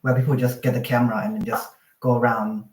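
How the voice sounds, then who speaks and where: A man chats easily on a video call, filling his talk with "like" and "you know". His voice sits at a middling pitch. It echoes a little in the room through a phone mic. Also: fast. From Thailand. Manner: relaxed, chatty, many fillers like "like" and "you know". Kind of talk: casual video call chat. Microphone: phone on a video call, no external mic. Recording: roomy video call audio.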